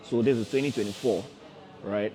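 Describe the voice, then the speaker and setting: A man's voice: lowish voice, normal speed, dark tone, soft background music, poor audio. A man in his thirties, a forex trader hosting a casual livestream, who repeats himself and coaxes viewers directly. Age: 30s. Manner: repetitive, coaxing, addresses viewers directly. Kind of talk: casual motivational livestream talk.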